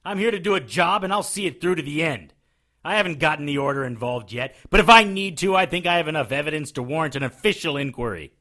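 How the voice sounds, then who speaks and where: A man's voice: on the high side, lively intonation, fast, sharp tone. A man playing a wry, defiant supernatural investigator who narrates his case in an audio drama, dry and firm. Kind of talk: first-person detective audio drama.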